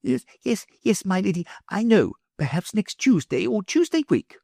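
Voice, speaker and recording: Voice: mid-range voice, big pitch swings, normal speed, vocal fry, a little mellow, no echo, studio quality, pitched above the performer's own voice. Fussy old professor guy, nervous and meek, reader puts him on, voice jumps around a lot. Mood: flustered. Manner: hesitant, flustered, fussy.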